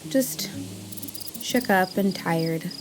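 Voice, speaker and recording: A woman's voice: fairly low pitch, very slow, slight background noise. A woman playing an earnest, awkward survivor in an audio drama, emotional and hesitant in her delivery. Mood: shy, smitten.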